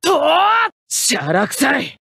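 High-pitched, hot-headed young mecha pilot. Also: male voice, very animated, slow, vocal fry, husky, no room sound, clean studio sound, heavily acted. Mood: combative. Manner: forceful, cocky shouting of short attack calls. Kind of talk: anime fighting-game battle barks.

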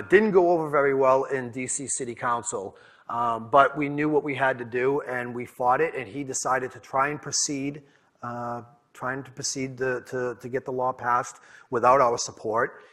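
A man's voice: medium pitch, fairly even intonation, moderate pace, a bit bright. A man with a Boston accent, from New England, giving a conference presentation in candid storytelling style, often repeating and restarting words. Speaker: conference speaker. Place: conference room.

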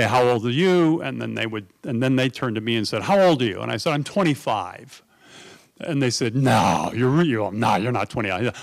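A middle-aged man from New York, speaking at a conference panel table into a stand mic, telling anecdotes in a lively, conversational way. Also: medium pitch, creaky. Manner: animated anecdotal, acts out quoted voices. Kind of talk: conversational storytelling.

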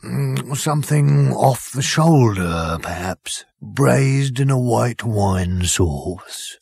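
A man voicing a courteous fat bovine creature that offers itself as the dish of the day, in a slow, ingratiating way with a husky voice. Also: medium pitch, expressive, a little mellow, dry recording, voice pushed up, heavily acted. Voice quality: gravelly and husky.

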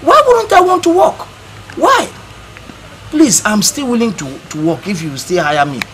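An actor playing a troubled, irritable young village farm labourer, with a Nigerian accent and hesitant, repetitive speech. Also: male voice, a little high, big pitch swings, normal speed, slight background noise. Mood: apologetic, pleading. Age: young adult. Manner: hesitant, repeats words. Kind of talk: film drama acting.

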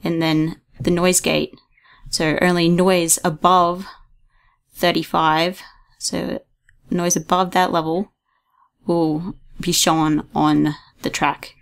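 A young woman hosting a casual screen-recorded software tutorial, talking hesitantly with frequent ums and restarts into a desktop mic. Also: fairly low pitch, level tone, takes it slowly, vocal fry. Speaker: video tutorial host.

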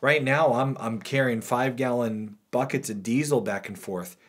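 Brief: man, 30s, podcast co-host, animated and joking, small studio room. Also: mid-range voice, moderate pace. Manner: animated, joking, gestures a lot. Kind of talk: casual chat. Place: small studio room with wood-panel wall.

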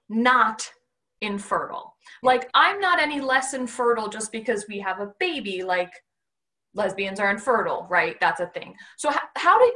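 Bright-voiced blunt woman podcast host. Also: a little high. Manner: blunt, profane and joking. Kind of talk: casual interview chat. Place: home room, video call.

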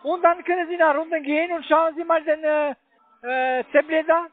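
This man's voice is extremely high and squeezed through a tinny phone line. He speaks German with a foreign accent. As a call center agent, he talks haltingly, piecing his sentences together. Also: steady tone. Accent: foreign, non-native German. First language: not German.

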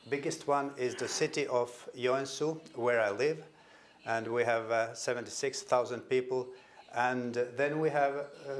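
Older finnish guy giving a conference talk, slow and halting, keeps repeating words, echoey hall. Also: mid-range voice. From Finland. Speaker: conference speaker. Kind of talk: conference presentation. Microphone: stand microphone at a podium.

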